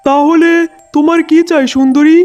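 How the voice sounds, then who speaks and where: A woman's voice: sits high, steady tone, normal speed, a little mellow, dull audio, soft background music, lightly acted. A woman playing a greedy, lovesick and scheming male fox in a cartoon, in a theatrical manner. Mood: lovestruck. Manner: theatrical, gushing. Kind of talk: cartoon voice acting.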